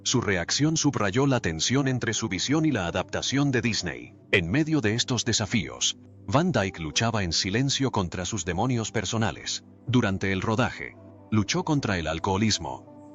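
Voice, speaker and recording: A man reading a scripted documentary narration in an even, measured way. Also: lowish voice. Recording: dull lo-fi voice-over with faint music. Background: faint background music.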